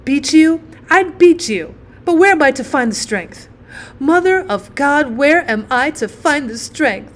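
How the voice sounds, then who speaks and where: Woman acting a melodramatic scolding mother, highly animated. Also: a little slow. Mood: bitter, lamenting. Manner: lamenting, melodramatic. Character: overwrought, self-pitying, scolding mother of a schoolboy.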